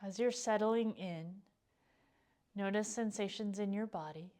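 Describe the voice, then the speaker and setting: A woman leading a guided meditation as a teacher, speaking in a calm, gentle, unhurried way with pauses. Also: mid-range voice. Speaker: yoga nidra meditation teacher.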